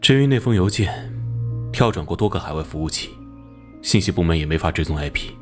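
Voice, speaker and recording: An actor playing a hot-tempered, by-the-book police captain in an animated drama, blunt and authoritative. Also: male voice, low voice, brisk, soft background music. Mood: curious, businesslike.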